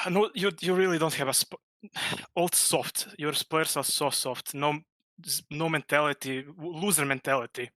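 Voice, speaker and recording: A man's voice: a little high, normal speed, bright, forceful tone, no echo. A man with a Croatian accent, calling in to a stream and speaking bluntly with heavy sighs. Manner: blunt, sighing. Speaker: caller. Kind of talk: casual chat.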